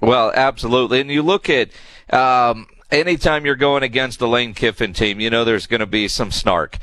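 A man's voice: mid-range voice, moderate pace, fairly bright tone, no echo. Male sports radio host, close and dry. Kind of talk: live sports talk radio interview. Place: broadcast booth at a stadium.

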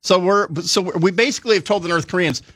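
A man's voice: high voice, quick pace, fairly bright tone, dry recording, clean studio sound. A man giving blunt, sardonic commentary as a guest analyst on a talk radio show, often restarting his phrases.

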